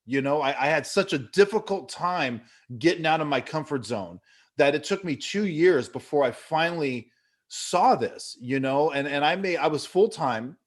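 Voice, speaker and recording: Middle-aged man, earnest motivational speaker, rambling story delivery with restarts. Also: medium pitch. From California. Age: 50s. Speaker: business leader speaking at an online event. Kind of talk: motivational talk.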